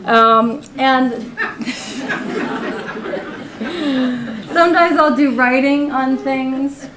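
A woman in her forties giving a gallery talk about her own artworks, candid and quick to laugh, with many "you know"s. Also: sits high. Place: college art gallery. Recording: roomy live recording with faint noise.

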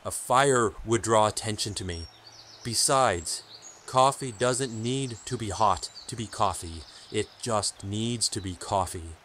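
A man's voice: fairly low pitch, measured pace, fairly bright tone. Guy doing a solemn hermit holy man for an audio drama, stern and formal, bit of noise behind. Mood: grave. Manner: grave, portentous, formal. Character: solemn, stern hermit keeper of sacred word.

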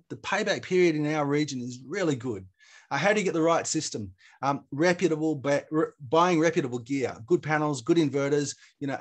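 Quick-talking man, informal presenter. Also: fairly high pitch. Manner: informal, plain-spoken, explanatory. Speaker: presenter from an energy foundation. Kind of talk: webinar presentation, conversational explaining.

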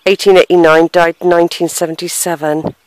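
A woman's voice: on the low side, level tone, fairly quick, dry recording, low-quality recording. A middle-aged woman vlogging as she walks through a country churchyard, thinking aloud, sighing and reading gravestones in a hesitant, musing way. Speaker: family history vlogger. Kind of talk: casual walking vlog, thinking aloud. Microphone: handheld camera, unseen narrator behind it.

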